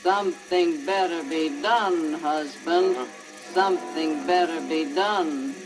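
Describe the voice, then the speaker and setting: An actress playing a selfish, scheming woodcutter's wife in a theatrical, scornful manner on an old children's story record. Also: female voice, on the low side, slow, music in the background, poor audio. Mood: insistent, hypnotic.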